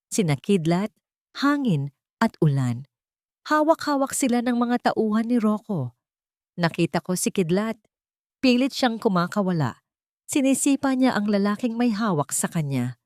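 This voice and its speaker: Woman narrator, lowish voice, dry close sound, earnest dramatic first-person storytelling. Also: a little mellow, no room sound.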